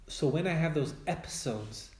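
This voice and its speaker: A Hebrew-speaking man from Israel with an Israeli accent, a spiritual teacher and author, talking reflectively in English in a personal conversation, often pausing and restarting as he searches for words. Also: on the high side, lively intonation, darkish tone, roomy sound, a little hiss or hum.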